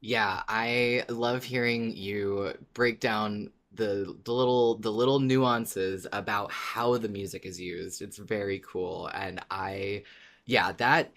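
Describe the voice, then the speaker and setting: An androgynous-sounding podcast co-host speaks at a middling pitch. The delivery is casual, conversational podcast chat. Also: a bit bright.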